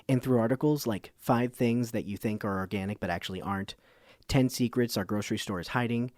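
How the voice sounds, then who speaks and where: A man plays an earnest documentary host narrating a scripted radio story. His voice is on the low side and recorded dry and close. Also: a little mellow, dry recording, close to an everyday voice.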